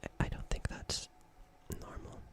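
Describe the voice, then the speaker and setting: A performer playing a gentle, nervous alien in a whispered ASMR roleplay, speaking in a breathy, hesitant, stammering and soothing way. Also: measured pace, close to an everyday voice. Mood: reassuring, concerned. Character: gentle, nervous alien caring for an injured human.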